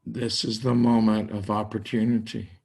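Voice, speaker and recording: An older man leading a guided meditation, speaking in slow, spacious phrases with long pauses. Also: fairly low pitch, flat delivery, a little mellow, breathy edge. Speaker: meditation teacher.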